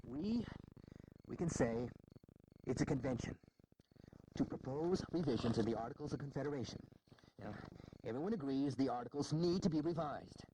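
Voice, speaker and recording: An actor playing an earnest, worried founding statesman in a historical audio drama, deliberating haltingly and with emphasis. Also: medium pitch, background noise, close to an everyday voice. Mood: earnest, persuasive.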